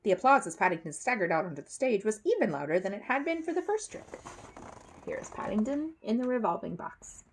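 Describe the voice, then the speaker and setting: A woman reading a children's book aloud as an expressive storyteller. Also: mid-range voice, fairly quick, a little hiss or hum.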